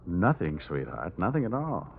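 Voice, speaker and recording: An actor playing a tough, wisecracking American insurance investigator in a 1950s radio detective drama. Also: male voice, sits low, huge pitch swings, moderate pace, creaky, very noisy, full character voice. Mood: cool, wry. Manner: wisecracking, hard-boiled. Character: wry, tough male insurance investigator narrating his own case. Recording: tinny 1950s radio recording, music.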